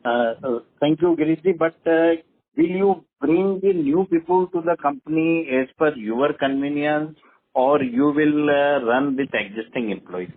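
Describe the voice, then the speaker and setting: A man's voice: a little high, unhurried. A man with an Indian accent putting questions to management as a caller on an earnings call. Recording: narrow phone line with faint noise.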